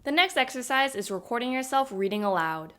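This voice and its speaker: A woman's voice: fairly high pitch, animated, a little fast, sharp tone. A young American woman giving an upbeat, scripted tutorial on her daily study routine. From United States. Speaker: language learning vlogger.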